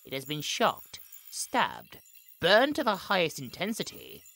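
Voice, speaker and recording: A woman's voice: fairly low pitch, huge pitch swings, unhurried, gravelly, a bit bright, faint music behind, full character voice, low-quality recording. A woman playing a cold, imperious scientist in a horror audio drama, speaking in a clinical, theatrical way. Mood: clinical, detached. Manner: theatrical, clinical log narration with dramatic emphasis.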